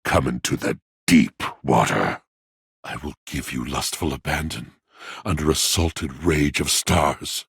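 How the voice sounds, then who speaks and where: A melodramatic, heartbroken object come to life in a video game. His voice is exceptionally low and full of gravel, recorded dry and close. He speaks in mournful, grandiose verse, as a theatrical brooding lover. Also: a little slow, a bit bright, no echo, full character voice. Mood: anguished, seductive.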